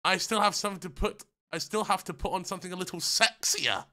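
Young man, livestream host, high bright voice, excitable, slangy, casual chat, close mic. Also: quick pace. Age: 20s. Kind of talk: casual reaction chat to stream viewers. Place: small decorated room at home. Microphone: close desk microphone on a boom arm.

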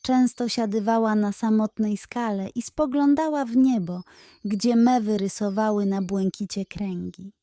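A woman storyteller narrating a scripted children's bedtime tale in an expressive, measured way. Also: medium pitch, no room sound.

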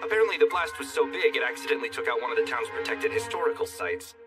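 An actor playing a cocky young fantasy hero in an animated dub, narrating and bantering with smug, sardonic wit. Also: male voice, mid-range voice, very fast, recorded from a distance, close to an everyday voice. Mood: wry narrating. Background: music.

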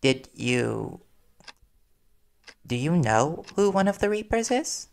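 A man playing a worried reporter boyfriend in an intimate audio roleplay, earnest and halting as he gently confronts his partner. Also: on the high side, very animated, gravelly, a little hiss or hum, exaggerated voice. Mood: shocked, flustered.

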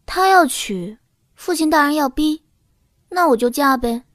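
High-voiced young princess consort, a woman acting demure. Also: animated, fairly bright tone, dry recording, studio quality. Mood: nonchalant, polite. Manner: polite, unhurried, slyly nonchalant. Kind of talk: Mandarin audio drama acting.

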